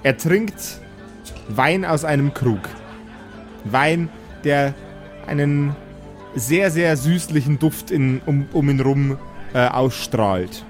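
A man narrating an improvised tabletop role-playing game as game master, haltingly and searching for words. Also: fairly high pitch. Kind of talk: improvised pen-and-paper narration. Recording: music playing behind the voice. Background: background music.

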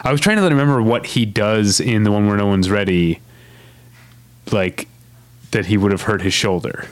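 A man's voice: fairly low pitch, normal speed, dry recording. A man co-hosting a podcast, chatting casually with many fillers and restarts. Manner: rambling, lots of fillers and restarts. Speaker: podcast co-host. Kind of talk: casual chat.

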